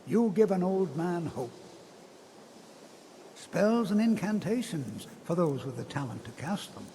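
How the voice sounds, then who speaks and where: An elderly, kindly college wizard from a fantasy game. His voice is fairly high for a man and gentle, reflective in manner, with a long hall reverb and some background ambience noise. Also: very animated, unhurried. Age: older. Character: kindly, measured old wizard scholar of a magic college. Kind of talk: fantasy video game character dialogue.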